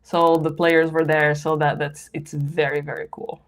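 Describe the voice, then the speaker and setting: Woman co-host, lower voice, chatty and quick to laugh in casual sports talk. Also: flat delivery. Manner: chatty, laughs often, quotes others in voices. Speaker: podcast co-host.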